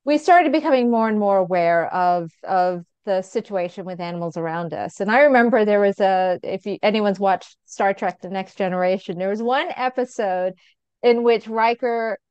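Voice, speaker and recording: A middle-aged woman with an American accent talks earnestly as an interview guest, laughing easily and using fillers. Her voice is smooth and clear. The sound is dry and slightly dull. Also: medium pitch, moderate pace, a little mellow, dry recording. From England. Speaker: guest, physician. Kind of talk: conversational interview answer.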